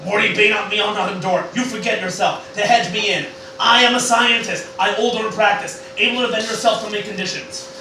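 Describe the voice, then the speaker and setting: A man's voice: high-pitched, steady tone, a little fast, bright, forceful tone. A man playing a bitter, volatile old scientist grandfather, theatrical and stammering, in a comic Shakespearean parody. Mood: offended. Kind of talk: Shakespearean parody stage acting. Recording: very echoey room, distant mic, noisy.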